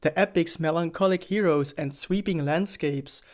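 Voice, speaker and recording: A man hosting a channel, giving a scripted explainer narration in a measured, even storytelling manner. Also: fairly high pitch. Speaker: host. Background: faint background music.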